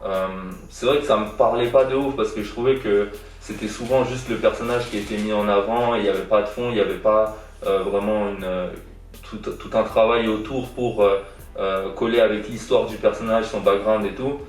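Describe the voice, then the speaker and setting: A man's voice: lowish voice, fairly even intonation, moderate pace, faint music behind. Hesitant young man, echoey and distant. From born in Iran, raised in France. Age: young adult. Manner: hesitant, many fillers and repeated words. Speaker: guest artist. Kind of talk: casual interview answers. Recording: echoey room, distant from mic.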